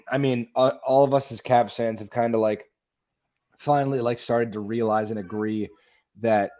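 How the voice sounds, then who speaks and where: A man's voice: mid-range voice, a little mellow. A man co-hosting a sports podcast, talking hockey as an opinionated, blunt fan in casual chat. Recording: dull lo-fi microphone sound.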